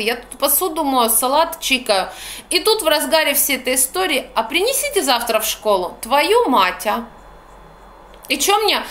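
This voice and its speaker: A woman's voice: high voice, slight background noise. Blunt young woman with a Ukrainian accent. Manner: blunt, slangy, exasperated, animated. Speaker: vlogger. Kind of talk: casual ranting monologue. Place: small room by a curtained window, indoors. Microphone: phone camera at arm's length.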